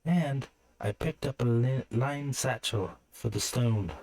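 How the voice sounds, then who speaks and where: Guy putting on a young woman adventurer, pitched way up, practical, fantasy audiobook. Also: slow pace, darkish tone.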